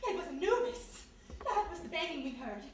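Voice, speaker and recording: A woman's voice: extremely high pitch, very animated, a little fast, a little hiss or hum. A woman playing a fragile, hysterical young heroine in a radio mystery with breathless, wailing melodrama. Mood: terrified. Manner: breathless, wailing, theatrical delivery. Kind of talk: live 1940s-style radio mystery acting. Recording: echoey hall, distant stage mic.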